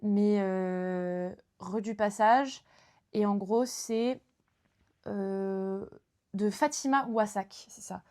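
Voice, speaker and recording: A woman's voice: mid-range voice, steady tone, extremely slow, warm tone. Young woman streamer, casual book chat, rambling with fillers. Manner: chatty, lots of fillers and restarts. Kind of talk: casual chat about books.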